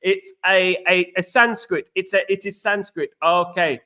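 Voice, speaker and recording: Bright, high-voiced chatty English man. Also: steady tone, a little slow. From England. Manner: chatty, greets viewers by name, repeats himself. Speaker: host. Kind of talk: casual livestream chat.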